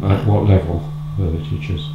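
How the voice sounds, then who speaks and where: An older man interviewing a guest, asking soft, unhurried questions. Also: deep voice, level tone, gravelly, roomy sound, highs cut off, heavy background noise.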